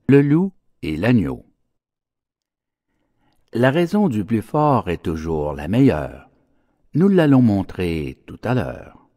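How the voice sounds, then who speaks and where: A man reads verse fables like an audiobook narrator. His voice is low and swings widely in melody, with a measured, theatrical delivery in a dry recording. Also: warm tone, no room sound.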